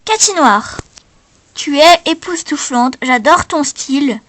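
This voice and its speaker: A woman voicing gushing female talent-show characters in an amateur doll play, improvising with hesitant, repetitive, halting phrasing. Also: sits high, expressive, a bit bright, full character voice. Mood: admiring.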